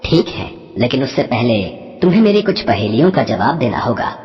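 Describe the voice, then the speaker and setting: A man's voice: medium pitch, sing-song, brisk, muffled recording, close to an everyday voice. Man playing a proud, lordly heron prince. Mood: testing. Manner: boastful, lordly. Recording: long reverb.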